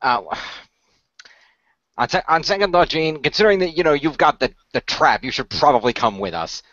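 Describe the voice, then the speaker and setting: A man improvising in-character dialogue in a role-play, explaining things in a halting way. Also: fairly high pitch, expressive, fairly bright tone, no room sound. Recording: dry, dull lo-fi audio.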